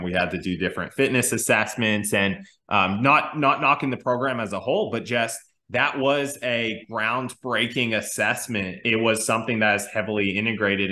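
Thirties guy coaching talk over video call, bright lowish voice, roomy echo, lots of um and restarts. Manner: thoughtful, many restarts and fillers. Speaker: strength coach, podcast co-host. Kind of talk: casual conversational explanation. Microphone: video call webcam.

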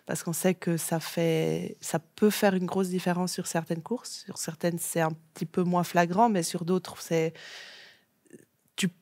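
Une jeune femme invitée sur un plateau de télévision, qui répond simplement et de façon détendue aux questions, avec quelques hésitations. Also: female voice, lowish voice, measured pace, dry recording. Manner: relaxed, short plain answers with fillers. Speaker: guest athlete. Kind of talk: casual TV interview answers. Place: TV studio set.

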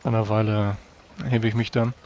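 A man playing a tabletop game, narrating his character's actions deliberately in a subdued, literary way. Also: on the low side, level tone, darkish tone, dull audio, faint music behind. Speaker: tabletop role-player.

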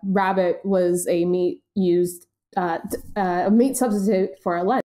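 Mellow American woman chatting casually. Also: on the low side, fairly even intonation, a little slow. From United States. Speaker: podcast co-host. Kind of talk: casual podcast chat.

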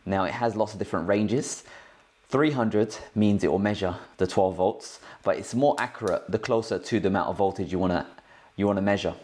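A man's voice: fairly low pitch, a little fast, darkish tone. A man hosting a tech tutorial in a casual, informal, slangy way, explaining things step by step. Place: indoors at a cluttered work desk.

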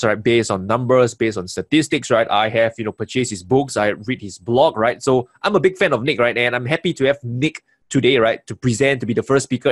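A man hosts an online event and introduces its first speaker at a medium pitch. He leans on the same tag question after nearly every phrase. The sound is dry and close. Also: no room sound. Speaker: webinar host.

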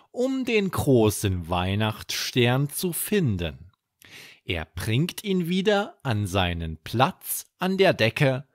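A man's voice: on the low side, dry recording, clean studio sound, full character voice. A man voicing a solemn plush animal who speaks like a prophet in a children's bedtime story.

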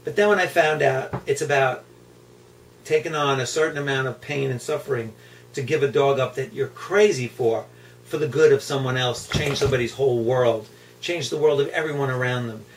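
A man's voice: mid-range voice, moderate pace. A middle-aged man talking casually and reflectively to a camera, emphatic and repeating words for effect. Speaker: guide dog puppy raiser. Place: indoor room at home, seated on floor. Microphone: camera mic a few feet away. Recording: distant camera mic, hum and background noise.

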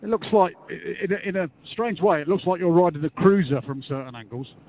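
A man's voice: high voice, fairly quick, a little mellow. A man chatting casually as a motorcycle reviewer while he rides, talking over a helmet intercom. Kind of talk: casual chat while riding. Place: outdoors, riding a motorcycle. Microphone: helmet intercom mic. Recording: dull, muffled helmet intercom.

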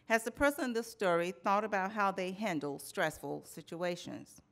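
A middle-aged woman delivering a keynote at a conference. She speaks from a lectern microphone in a measured storytelling manner, with a string of rhetorical questions and lists. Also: mid-range voice, creaky. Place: conference hall in front of a banner backdrop. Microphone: lectern microphone, medium framing.

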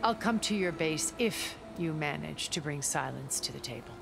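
An actress playing a cool, aloof, imperious woman in a cinematic video game, measured and condescending. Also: low voice, animated, gravelly, noisy background.

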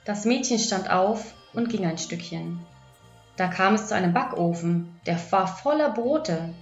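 A woman's voice: mid-range voice, measured pace. Female audiobook narrator for children's fairy tales; roomy, lo-fi sound with music underneath. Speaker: audiobook storyteller. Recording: echoey, dull lo-fi, music behind.

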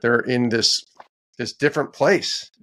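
A man's voice: medium pitch, unhurried, fairly bright tone. A middle-aged man hosting a podcast from a home studio, asking questions in a conversational way and checking in with tag questions. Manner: friendly, prompting questions with "yeah" and tag questions. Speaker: podcast host. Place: indoors, home studio with posters behind. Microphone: headset or desk mic on a video call, headphones on.

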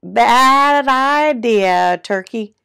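Woman as a storybook farm animal, loud, raised pitch, blunt, scolding, drawn-out calls. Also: animated, gravelly, clean tone, no room sound, studio quality, heavily acted. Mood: squawking scolding.

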